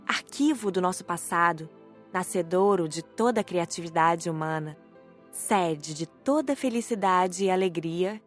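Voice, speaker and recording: A woman reading scripted first-person narration as an audiobook narrator. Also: fairly low pitch.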